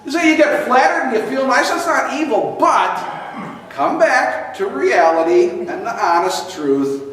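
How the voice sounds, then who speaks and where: Animated older man preaching, echoey hall. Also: very high-pitched, measured pace, a little hiss or hum. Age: 60s. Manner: humorous, chuckling, lively storyteller. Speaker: pastor. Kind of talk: storytelling sermon. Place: large church hall with congregation. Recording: echoey hall from far off, congregation audible.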